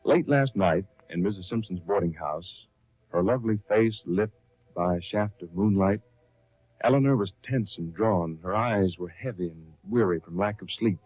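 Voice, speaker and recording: A man's voice: low voice, normal speed, no room sound, soft background music. A man working as a radio announcer and narrator in 1930s style, reading from a script with theatrical emphasis. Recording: dull, lo-fi 1930s radio recording.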